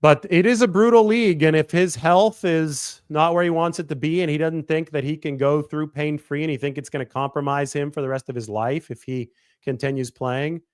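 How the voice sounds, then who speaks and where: A man in his thirties talking through a football analysis on his own show, quick and analytical, often restarting and correcting himself mid-sentence. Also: on the high side, clear, resonant tone, no room sound, studio quality. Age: 30s. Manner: quick analytical delivery with frequent self-corrections. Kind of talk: sports analysis monologue.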